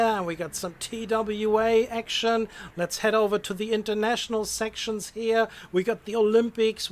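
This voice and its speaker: A man's voice: high-pitched, fairly bright tone. A man hosting a live game stream with casual, enthusiastic commentary, often repeating phrases for emphasis.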